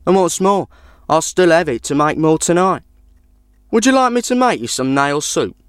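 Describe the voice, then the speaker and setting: A man's voice: a little high, animated, normal speed, clear, resonant tone, dry recording, clean studio sound, pitched above the performer's own voice, natural voice. A man doing a sly, hungry wanderer. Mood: coaxing. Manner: sly, coaxing. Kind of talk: storybook reading.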